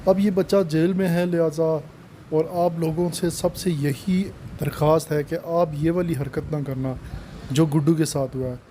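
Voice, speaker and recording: A man's voice: a little high, steady tone, moderate pace, warm tone, a little hiss or hum. A man narrating informally as a storyteller, giving children a moral as the channel host.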